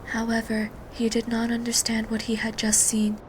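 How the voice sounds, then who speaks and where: A woman playing a quiet, expressionless teenage girl in an audio drama, reciting her lines plainly. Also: a little high, monotone, normal speed, close to an everyday voice. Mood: emotionless. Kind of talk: fan audio drama acting. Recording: clear background noise and ambience.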